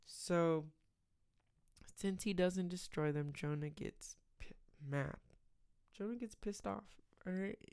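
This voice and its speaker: A podcast host with a raspy, tired late-night voice, casually retelling a Bible story and praying, rambling with many fillers. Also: mid-range voice, slow pace, a little mellow. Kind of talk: casual faith podcast with prayer.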